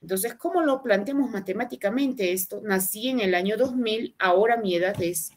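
A woman teaching math, explaining step by step and repeating each phrase slowly over a video call. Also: mid-range voice, a little mellow. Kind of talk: online class explanation. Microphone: webcam on video call.

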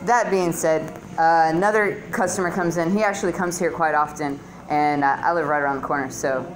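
Low-voiced woman doing crude club stand-up. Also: steady tone, fairly quick, a little hiss or hum, low-quality recording. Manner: crude, slangy, conversational storytelling. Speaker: open-mic stand-up comedian. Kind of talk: stand-up comedy routine. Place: small dim comedy club stage, indoors. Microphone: handheld stage microphone, filmed on phone close below.

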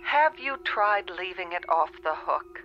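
A woman's voice: on the low side, very animated, unhurried, soft background music. An actress playing a placating, businesslike female phone company clerk in a radio drama, heard through a telephone filter. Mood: polite. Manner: reassuring, businesslike. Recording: muffled telephone-line sound.

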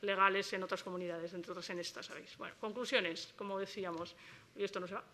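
A woman presenting a research project at an academic conference, brisk, with informal asides and fillers, speaking at a table mic in a lecture hall. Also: mid-range voice, fairly even intonation, pushed and bright, a little hiss or hum. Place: lecture hall with a large audience.

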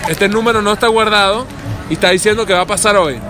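A man's voice: high voice, quick pace. A young man with a Venezuelan accent, hosting a casual street interview in a teasing, playful way. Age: young adult. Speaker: vlog host. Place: busy city plaza, outdoors. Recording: noisy busy street, crowd behind.

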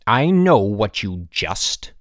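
A man's voice: fairly low pitch, animated, gravelly, no echo, studio quality. A man voicing a stern, sarcastic headmaster who scolds in snapping, emphatic phrases for an audiobook. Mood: stern scolding. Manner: snapping, clipped commands, drawn-out emphasis.

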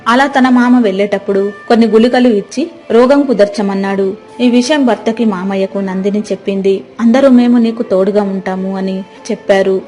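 A woman narrates a scripted moral tale. Her voice is mid-pitched and mellow. Faint music plays beneath her. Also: steady tone, normal speed.

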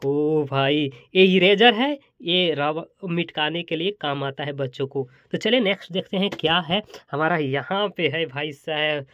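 A man's voice: on the high side, unhurried, hardly any pauses, fairly bright tone, dry recording. Exclamatory man showing products, dull lo-fi sound. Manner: exclamatory, chatty, repeats phrases while demonstrating. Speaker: toy and gadget review host. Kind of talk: casual hands-on product showcase.

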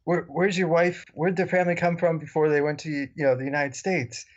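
A man's voice: a little high, little pitch movement, a little fast. A man co-hosting a podcast, chatting casually and telling anecdotes in a wry way. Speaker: podcast co-host. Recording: dull, lo-fi voice recording.

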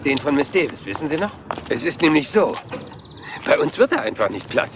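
An actor playing a rumpled, persistent police inspector in a dubbed crime drama, polite and meandering. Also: male voice, a little high, big pitch swings, a little fast, background noise.

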